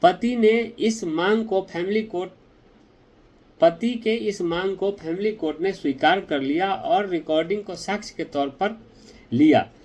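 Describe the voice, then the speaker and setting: A man explaining a legal ruling to viewers in a direct, deliberate, explanatory way. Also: high voice, darkish tone.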